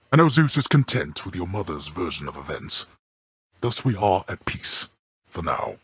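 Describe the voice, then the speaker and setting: An actor playing a stern, proud old god of the underworld and gruff father in a video game, speaking in a formal, archaic, imperious and curt way with a deep, gravelly, husky voice. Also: no room sound, studio quality, heavily acted. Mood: guarded. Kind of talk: video game character acting.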